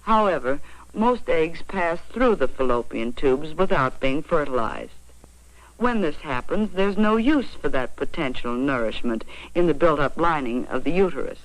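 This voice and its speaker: Lively 1940s American woman film narrator. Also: low-pitched, no room sound, poor audio. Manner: measured, explanatory, carefully phrased. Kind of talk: scripted 1940s educational narration. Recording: vintage 1940s film soundtrack. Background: faint music.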